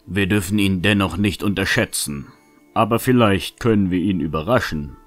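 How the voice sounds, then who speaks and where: A shrewd, calm fantasy adventurer, played by a man with a deep voice. He reasons in measured, well-chosen phrases like a strategist, over faint music. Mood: cautious planning. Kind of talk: fan-made fantasy audio drama acting.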